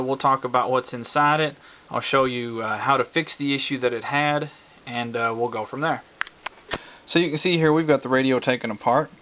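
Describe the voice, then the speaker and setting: Guy doing a laid-back how-to video, kinda halting, thinking out loud as he explains stuff. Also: medium pitch, a little hiss or hum. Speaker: hobbyist video presenter. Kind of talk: casual hands-on tutorial talk.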